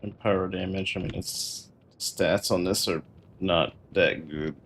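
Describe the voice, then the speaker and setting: A man chatting casually and in a low-key way while livestreaming gameplay. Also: fairly even intonation, a little slow, a bit bright, slight background noise. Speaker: game streamer. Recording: music playing behind the voice. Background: game music.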